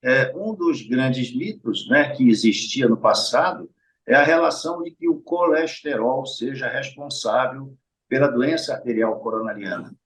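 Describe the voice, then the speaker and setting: A man's voice: mid-range voice, normal speed, low-quality recording. A middle-aged man with a Brazilian Portuguese accent, a heart surgeon explaining things patiently in an interview and often checking in with his listeners. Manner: explains patiently, often adds tag questions and addresses listeners directly. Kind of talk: explanatory conversational interview talk.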